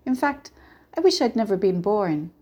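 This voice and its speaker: A woman voicing a bitter, despairing young male small-town bank clerk in a storybook, gruff and scornful as he complains. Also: mid-range voice, lively intonation, moderate pace, dark tone, natural voice.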